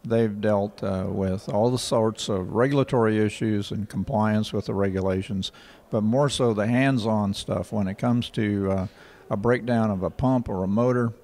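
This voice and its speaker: An older man, answering interview questions in a measured, factual way with frequent pauses. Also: fairly low pitch, fairly even intonation, mellow. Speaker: rural water association director. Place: indoor office in front of a painting. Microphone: handheld station mic.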